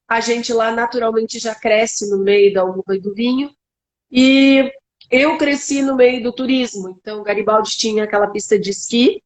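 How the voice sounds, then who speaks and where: Lively southern Brazilian woman, bright-voiced. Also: a little high, rough recording. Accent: Southern Brazilian (Gaúcho) Portuguese. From Serra Gaúcha, southern Brazil. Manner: lively, laughs easily, self-deprecating. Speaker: guest winemaker. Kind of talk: conversational interview.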